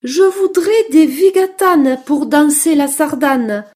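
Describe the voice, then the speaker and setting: A woman's voice: high voice, slow, darkish tone, pitched above the performer's own voice. A woman voicing a sweet, polite, eager little female mouse with an exclamatory, sing-song delivery in a children's story. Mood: hopeful, curious.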